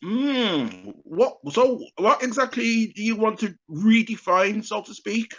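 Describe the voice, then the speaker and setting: A man hosting an interview, reacting with excitable, animated exclamations. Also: sits high, takes it slowly, lo-fi sound. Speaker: host. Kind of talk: interview questions.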